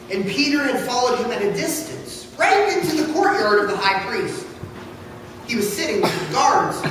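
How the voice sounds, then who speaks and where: A male pastor giving a solemn, dramatic scripture reading with measured, emphatic phrasing. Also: extremely high pitch. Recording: echoey, noisy hall from far off.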